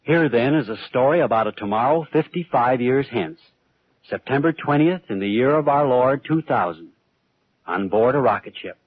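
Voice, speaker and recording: A man's voice: medium pitch, expressive, moderate pace, dry recording. A man narrating the introduction to a radio play in a formal, dramatic, old-time radio style. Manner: formal, portentous. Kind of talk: dramatic scripted 1940s-50s radio narration. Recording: dull, dry old radio recording.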